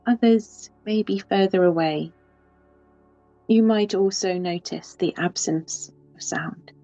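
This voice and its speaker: A woman leading a guided yoga nidra relaxation, speaking softly and unhurriedly with long pauses. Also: mid-range voice, no echo. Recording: slightly dull, with soft music behind.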